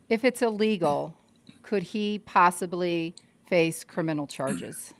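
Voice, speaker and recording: A woman's voice: lowish voice, takes it slowly. A woman asking follow-up questions in a conversational interview style. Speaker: panel questioner.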